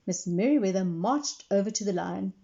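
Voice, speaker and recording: A woman's voice: medium pitch, expressive, moderate pace, warm tone, lo-fi sound. A woman reading a picture book aloud to children as a librarian, with simple explanations along the way. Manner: clear explanatory storytelling for young listeners.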